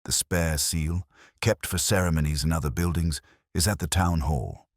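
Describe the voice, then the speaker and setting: A man's voice: a bass voice, normal speed, no room sound, studio quality. A man voicing a stern, unyielding older woman registrar in a storybook mystery, formal and clipped. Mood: formal, certain.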